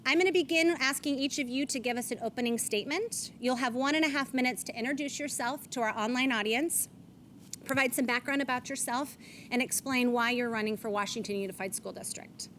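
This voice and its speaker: A woman's voice: high-pitched, brisk, fairly bright tone, a little hiss or hum. A woman, moderating a candidates forum on stage and reading rules and statements aloud. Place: event stage.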